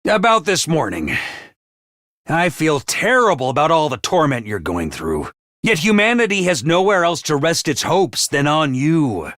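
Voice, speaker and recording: An actor playing a theatrical male academy commander who swings from booming cheer to menace, in a game. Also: very animated, unhurried, a bit bright, no room sound, clean studio sound. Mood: gentle. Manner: booming, over-the-top cheer. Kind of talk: video game voice lines.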